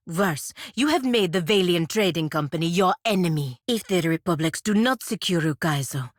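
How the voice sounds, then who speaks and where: An actress playing a proud, stern godlike warrior woman in a fantasy video game, speaking in formal, measured phrases. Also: fairly low pitch, animated, no room sound, studio quality. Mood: stern, urgent.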